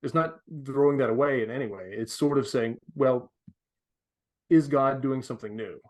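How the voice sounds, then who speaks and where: A man's voice: mid-range voice, moderate pace. A young man talking earnestly as a podcast guest, emphatic and full of filler words. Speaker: guest contributor. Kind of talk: conversational explanation of faith.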